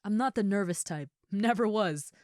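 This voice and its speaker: A woman playing an affectionate giant ogre roommate in an intimate comfort roleplay, speaking tenderly and haltingly with soft, breathy asides. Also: medium pitch, lively intonation, no echo, studio quality, lightly acted. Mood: tender, hesitant. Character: gentle, affectionate giant female ogre roommate, shyly confessing.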